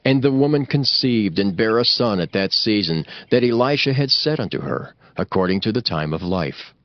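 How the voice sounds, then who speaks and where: A man's voice: on the low side, very animated, normal speed, dry recording, lo-fi sound. A man narrating a scripture audiobook in a formal, solemn storytelling manner.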